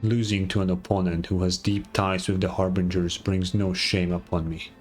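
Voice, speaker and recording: Cold, haughty low-voiced villain, played by a man. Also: flat delivery, soft background music, natural voice. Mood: proud. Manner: haughty, measured, formal. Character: cold, proud male villain agent, bitterly menacing. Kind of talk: anime video game voice acting.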